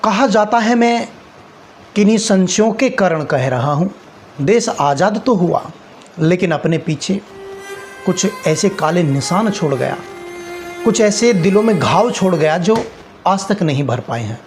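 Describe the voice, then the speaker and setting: Male speaker, notably high pitch, patriotic speech, earnest urging tone, deliberate pauses, repeated phrases. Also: expressive, soft background music. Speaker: speaker addressing viewers on a patriotic occasion. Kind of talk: spoken patriotic address.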